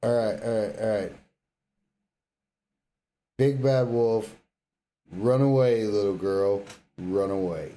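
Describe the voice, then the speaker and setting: A man's voice: on the low side, slow, pauses often, warm tone. A man co-hosting a podcast, chatting casually about games in a blunt, offhand way. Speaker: podcast co-host. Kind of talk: casual gaming chat. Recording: faint background noise.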